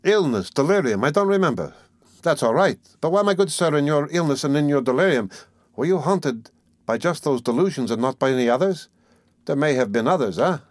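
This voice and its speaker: A man's voice: a little high, animated, fairly quick, no room sound, studio quality, voice pushed up, close to an everyday voice. A man voicing a sly, falsely jovial middle-aged examining lawyer in an audiobook, teasing and ironical. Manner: teasing, chuckling, wheedling, ironical. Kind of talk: dramatic audiobook character reading.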